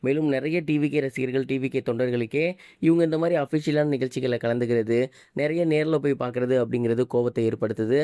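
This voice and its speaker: Fast-talking man, clean dry sound. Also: medium pitch, steady tone, dark tone, clear, resonant tone, dry recording. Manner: code-mixes English words into his speech. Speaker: online gossip news presenter. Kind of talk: scripted news commentary.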